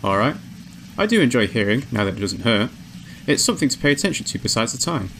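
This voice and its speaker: A man voicing a newly awakened, curious female android in a visual novel, measured and introspective. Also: medium pitch, big pitch swings, fairly bright tone, slight background noise. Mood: contemplative. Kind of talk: visual novel character narration. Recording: music behind the voice.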